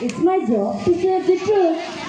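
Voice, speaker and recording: High-voiced female puppet-show reciter in echoey room. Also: animated, a little slow. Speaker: student performer in a school puppet show. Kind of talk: scripted puppet-show dialogue. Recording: muffled, very echoey, noisy room with chatter.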